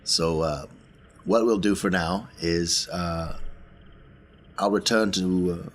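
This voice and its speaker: A man playing a tabletop roleplaying game, talking through plans casually in a halting, deliberate way. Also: low voice, steady tone, slight background noise.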